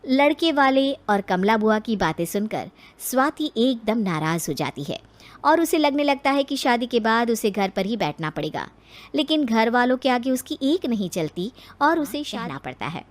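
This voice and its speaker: A woman's voice: fairly high pitch, big pitch swings, moderate pace. A woman reading scripted narration for a moral story.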